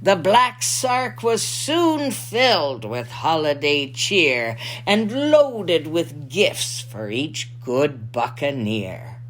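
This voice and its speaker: A woman voicing a salty old pirate lookout, spinning a rhyming Christmas yarn in a theatrical, drawn-out manner. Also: deep voice, very animated, a little hiss or hum, full character voice. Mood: wondering, dramatic.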